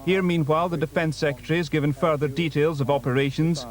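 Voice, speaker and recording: A man's voice: fairly high pitch, steady tone, fast talker. A man with a British accent voicing a scripted news report.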